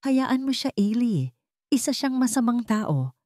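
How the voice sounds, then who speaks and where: A woman's voice: fairly high pitch, very animated, a little slow, dark tone, dry recording, voice pushed up. A wealthy father from a narrated melodrama, cold and commanding, hurls stern accusations, as a woman storyteller acts him out. His fury is clipped into orders. The recording is dry, with hardly any room around the words.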